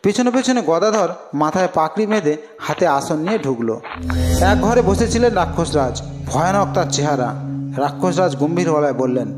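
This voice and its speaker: A man's voice: a little high, expressive, moderate pace. A man narrating a scripted fairy tale as a storyteller. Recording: long reverb, music behind. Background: clear music.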